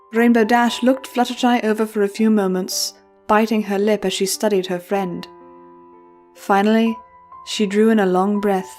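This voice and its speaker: A woman's voice: mid-range voice, a little mellow. A woman narrating a story in a dramatic, scripted reading style.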